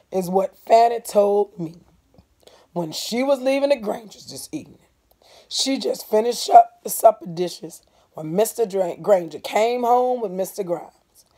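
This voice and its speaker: A woman voicing a bitter, wary Southern man telling bad news in halting, folksy rural dialect, as in a storybook reading. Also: mid-range voice, expressive, warm tone, voice pushed up. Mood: halting, urgent.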